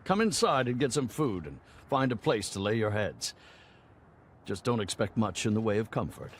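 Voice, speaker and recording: An actor playing a gracious, plain-spoken fort general in a video game, formal and declamatory in delivery. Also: male voice, fairly low pitch, animated, moderate pace, a little hiss or hum, natural voice. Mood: warm, somber. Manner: formal, old-fashioned, declamatory. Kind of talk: dramatic video game cutscene acting. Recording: loud music under the voice.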